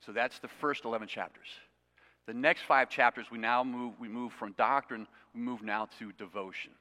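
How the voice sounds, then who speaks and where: Male pastor, mid pitch, bright tone, teaching sermon, reverberant sanctuary sound. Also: fairly even intonation, normal speed. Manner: conversational, self-deprecating asides. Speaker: preacher. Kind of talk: expository sermon. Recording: long reverb of a hall.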